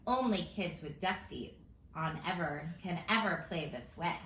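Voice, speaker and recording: A woman reading a children's storybook aloud in a silly, theatrical, expressive way, like a teacher at story time. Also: fairly low pitch, moderate pace. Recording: roomy echo with faint background noise.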